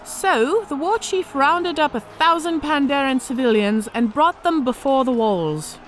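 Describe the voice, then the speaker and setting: High, animated female orc veteran. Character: battle-scarred female orc veteran officer, worldly storyteller. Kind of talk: audio drama character acting.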